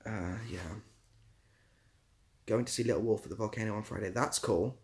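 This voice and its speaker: A man's voice: on the low side, normal speed, darkish tone. A man hosting a casual livestream, answering viewer comments in an informal, rambling way and searching for his words. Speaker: ASMR creator hosting a livestream; theatre assistant producer.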